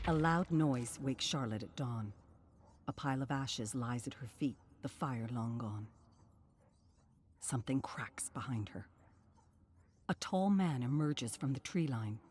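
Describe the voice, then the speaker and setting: A narrator reading a scripted dramatic story in a grave, suspenseful, measured way. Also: mid-range voice, a little mellow.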